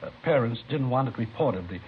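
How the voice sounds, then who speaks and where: A man's voice: mid-range voice, lively intonation, fairly quick, lightly acted. Harried American school principal played by a man. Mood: anxious. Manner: earnest, hesitant, flustered. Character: worried, harried male high school principal. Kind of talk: 1950s radio drama acting. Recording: dull, hissy old radio recording.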